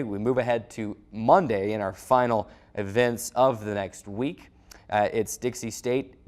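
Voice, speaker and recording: A man hosting a sports recap show, reading out schedules to viewers in a slightly halting announcer delivery. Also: fairly low pitch.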